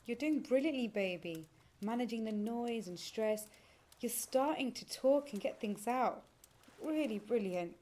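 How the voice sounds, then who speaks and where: An actress playing a supportive, affectionate, gently teasing girlfriend in intimate audio play dialogue. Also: on the high side, lively intonation, a little slow. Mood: tender, proud. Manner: encouraging, affectionate.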